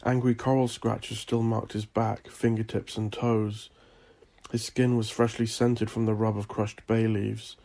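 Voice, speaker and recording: A man reading dark fantasy horror as a scripted story, with a measured, deliberate delivery. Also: fairly low pitch, flat delivery, gravelly. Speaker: audiobook narrator.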